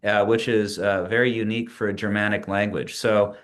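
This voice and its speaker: A man in his forties, a PhD student, walking through a slide presentation of his learning plan in a measured way, with frequent pauses and restarts. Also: lowish voice, level tone. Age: 40s. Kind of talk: presentation narration over screen share.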